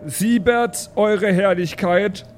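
A man playing a polite, diplomatic adventurer with measured, careful speech in an improvised audio play. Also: sits high, fairly even intonation, soft background music. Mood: formal, careful. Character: polite, diplomatic male adventurer negotiating contracts.

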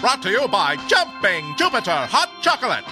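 An actor playing an excitable, gung-ho announcer of a boys' space serial, in old-time radio style. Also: male voice, high voice, normal speed, music in the background. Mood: excited, hearty. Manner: gung-ho, exaggerated enthusiasm.

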